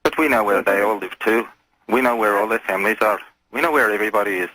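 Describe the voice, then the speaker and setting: A man phones in to a radio show with a lowish, husky voice. He talks casually, with folksy turns of phrase and a calm menace. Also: quick pace, no room sound, low-quality recording. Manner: folksy, calmly menacing, addresses others as lad and lassie. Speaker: phone-in caller. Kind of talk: casual conversational radio call.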